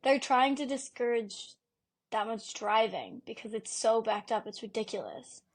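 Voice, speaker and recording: A young woman co-host in an easygoing chat, her pitch a little above average. She rambles, packs in fillers, and gets animated as she explains things. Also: moderate pace. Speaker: podcast co-host. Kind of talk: casual chat.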